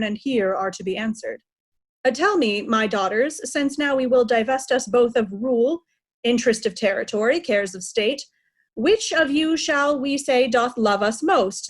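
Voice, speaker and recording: A woman playing an imperious aging king in a reading of Shakespeare, stately and commanding. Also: fairly high pitch. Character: aging king, imperious, dividing his realm among daughters. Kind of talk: Shakespearean reader's theatre.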